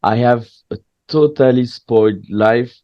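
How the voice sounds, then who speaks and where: A man's voice: mid-range voice, no echo. Guy chatting in english, lots of uhs and restarts, dry slightly muffled sound. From France. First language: French. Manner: hesitant, frequent pauses and restarts, candid. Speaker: guest, stand-up comedian. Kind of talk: casual interview chat.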